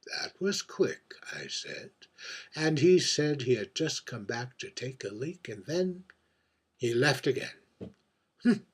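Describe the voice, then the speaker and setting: A man playing a world-weary, wry drag performer in a theatrical monologue, confiding and deliberate, moving between hushed murmurs and emphatic phrases. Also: mid-range voice, slightly rough, exaggerated voice. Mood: rueful, wry. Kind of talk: theatrical dramatic monologue read by its author.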